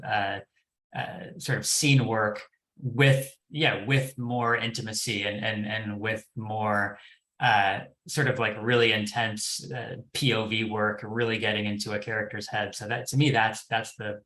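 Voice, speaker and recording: A man's voice: lowish voice, a bit bright. A man speaking casually as a panelist in a tentative, hedging way, in a roomy, echoing recording. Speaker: scripted development executive, panelist.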